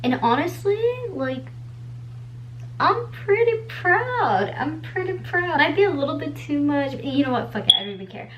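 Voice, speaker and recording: A woman's voice: high-pitched, big pitch swings, normal speed, highs cut off, background noise. A young woman in her twenties, a native Spanish speaker, chatting casually at home while doing her makeup, rambling with lots of "like" and the odd swear word. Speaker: beauty vlogger.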